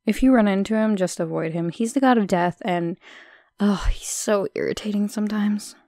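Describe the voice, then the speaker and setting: A young woman playing a shy, friendly, modest minor goddess in an audio roleplay, chatting hesitantly with soft asides. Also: medium pitch, normal speed, dry recording, clean studio sound, close to an everyday voice. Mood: chatty, candid.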